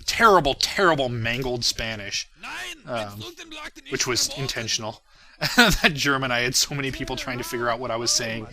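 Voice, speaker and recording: A man's voice: medium pitch, normal speed, crowd noise. A man giving casual, self-deprecating commentary on his own video as its creator. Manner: self-deprecating, chuckles between phrases. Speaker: creator of a parody series.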